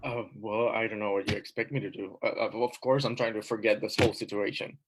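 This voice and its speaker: Man reading a sulky young man, hesitant, grumbling, low voice. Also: steady tone, fast, slight background noise, close to an everyday voice, poor audio. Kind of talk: audition scene reading.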